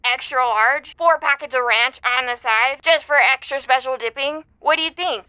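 High, bright, goofy nineteen-year-old caller. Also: female voice, normal speed, clear, resonant tone, dry recording. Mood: excited, goofy. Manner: goofy, rambling slacker slang. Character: quirky, earnest nineteen-year-old radio call-in magician. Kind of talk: semi-improvised audio drama acting. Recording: dull lo-fi phone-in sound.

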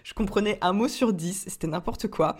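A woman's voice: medium pitch, normal speed, a little mellow, slightly rough. A young woman from France telling a personal story in a chatty way, with many fillers and restarts. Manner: chatty, frequent fillers and restarts, laughs often. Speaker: entrepreneur vlogger.

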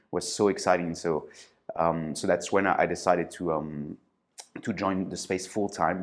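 Guy with a real low voice, lots of ums, chatting about his startup days. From France. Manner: hesitant, many fillers and restarts. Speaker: podcast guest, startup founder. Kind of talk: casual interview storytelling.

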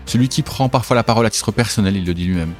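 A man in his forties hosting a business podcast, reading a measured introduction and then chatting casually with a guest. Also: on the low side, soft background music. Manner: measured, deliberate reading; relaxed and hesitant in conversation. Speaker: podcast host.

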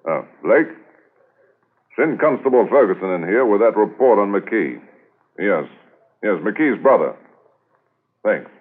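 An actor playing a confident, knowing senior police inspector with an authoritative manner in a 1950s radio drama. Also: male voice, lowish voice, sing-song, measured pace, pauses often. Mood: assured. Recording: thin, tinny 1950s radio recording.

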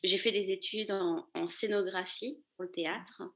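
A woman's voice: mid-range voice, steady tone, moderate pace. Woman in her thirties, chatting casually. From Paris, France. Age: 30s. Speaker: podcast guest, fashion accessories designer. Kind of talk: casual interview chat.

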